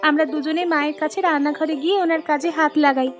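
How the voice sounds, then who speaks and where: A woman voicing cheerful, caring young married daughters in an animated Bengali family story, speaking in deliberate storybook phrases. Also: high-pitched, lo-fi sound, lightly acted. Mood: eager, affectionate.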